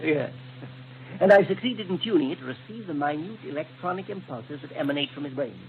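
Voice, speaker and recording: An actor playing a kindly, learned scientist inventor in a 1930s radio serial, explaining things in precise technical language. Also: male voice, fairly high pitch, lively intonation, a little fast. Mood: patient explaining. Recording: muffled, noisy 1930s radio recording.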